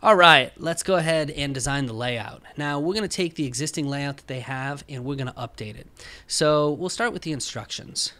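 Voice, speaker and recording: Casual man presenting a software tutorial. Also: a little high, moderate pace. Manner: informal, talks through each step.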